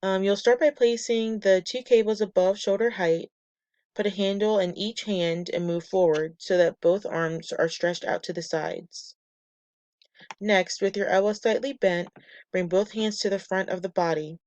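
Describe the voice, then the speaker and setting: A woman presenting a class lesson from slides, a bit nasal and raspy from a cold, reading steps and lists in a measured way. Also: medium pitch, fairly even intonation, a little mellow, no echo. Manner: measured, reads steps and muscle lists methodically. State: getting over a cold, slightly nasal and raspy. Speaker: student presenter.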